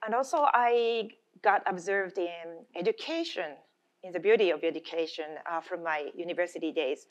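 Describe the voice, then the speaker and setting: A middle-aged Japanese woman speaks at a conference lectern in a slightly low voice. Her English carries a Japanese accent and comes haltingly, with restarts as she searches for the word. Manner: halting, searching for words in a second language. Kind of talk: conference presentation. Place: indoor conference room, at a lectern. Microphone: gooseneck lectern microphone.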